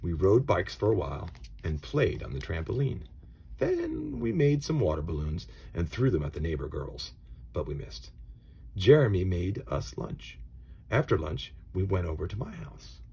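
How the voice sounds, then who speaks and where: A man reading a children's storybook aloud in a dramatic, expressive way, moving between hushed asides and emphatic phrases. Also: low voice, moderate pace, gravelly, husky. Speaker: storybook reader.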